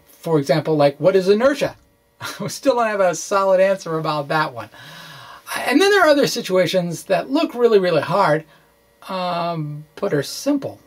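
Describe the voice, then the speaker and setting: A man giving an informal science lecture in a conversational, somewhat halting way with chatty asides. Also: high voice. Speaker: physics explainer.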